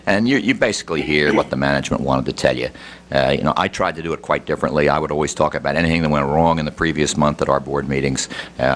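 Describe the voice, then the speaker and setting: Older male panelist, very low voice, discursive unscripted answers with frequent restarts, some background noise. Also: a bit bright. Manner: discursive, often restarting phrases. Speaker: panel speaker and former fund executive.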